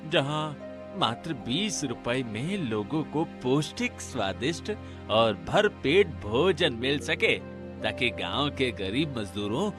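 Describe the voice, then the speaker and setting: Man acting a slow, earnest poor villager. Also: medium pitch. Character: poor, earnest, hopeful village husband with a humble dream. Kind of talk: acted Hindi cartoon dialogue.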